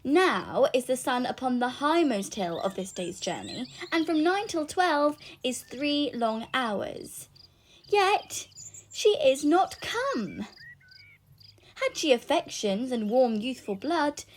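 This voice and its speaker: A woman's voice: high voice, big pitch swings, slow pace, exaggerated voice. A woman playing an eager, impatient young girl in love, speaking verse with dramatic pleading in a Shakespeare audio drama. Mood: impatient longing. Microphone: phone, recorded at home. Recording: noisy home phone recording.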